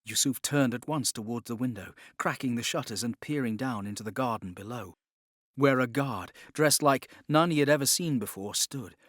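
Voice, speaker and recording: A man's voice: mid-range voice, normal speed, fairly bright tone, dry recording, studio quality. A man narrating an audiobook in a scripted, dramatic storytelling style.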